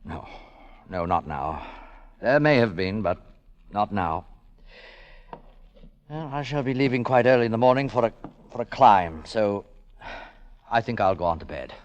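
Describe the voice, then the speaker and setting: An actor playing a world-weary, lonely middle-aged businessman in a measured, deliberate 1940s radio drama style. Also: lowish voice, lively intonation, dull audio. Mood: weary, guarded. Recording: old radio recording with reverberant hall sound.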